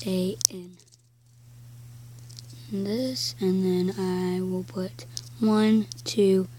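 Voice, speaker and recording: A woman with a croaky voice giving casual gameplay commentary, slowly counting blocks aloud as she builds. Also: mid-range voice, darkish tone, slight background noise. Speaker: game video creator.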